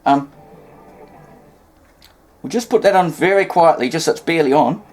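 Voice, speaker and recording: A man's voice: fairly high pitch, normal speed. A man with a New Zealand accent giving a casual, unscripted hands-on demonstration at home, hesitant, folksy and wry. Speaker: hobbyist presenter demonstrating vintage radios. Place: indoors in a cluttered home room.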